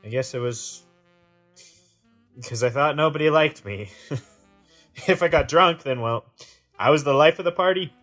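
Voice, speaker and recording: Guy playing a self-pitying messed up brother in a radio play, defensive n halting, bit of music behind. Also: a little high, a bit bright, close to an everyday voice. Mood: wistful confessional. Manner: halting, repeats and trails into broken phrases. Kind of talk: dramatic audio drama acting.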